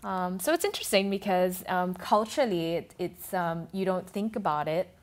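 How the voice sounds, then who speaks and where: Low-voiced woman, thoughtful blogger guest. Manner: thoughtful, explanatory, speaks in halting restarts. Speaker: food and travel blogger, guest. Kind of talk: TV talk show interview. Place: TV studio.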